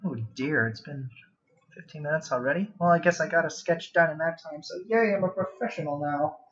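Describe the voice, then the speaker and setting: A man's voice: on the high side, fairly quick. A young man rambling casually and self-deprecatingly on a livestream while he draws. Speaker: art livestreamer. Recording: muffled home mic with background noise. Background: background noise, laptop cooling fan.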